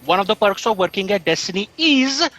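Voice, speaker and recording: A man's voice: sits high, animated, brisk, fairly bright tone. A man acting as a nervous, deferential, pedantic assistant in a sci-fi satire audio drama, hesitant and prim in delivery.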